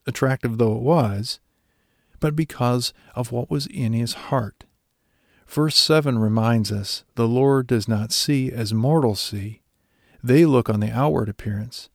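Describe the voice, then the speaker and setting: A man's voice: mid-range voice, darkish tone, no echo, clean studio sound. A man delivering a scripted devotional reflection.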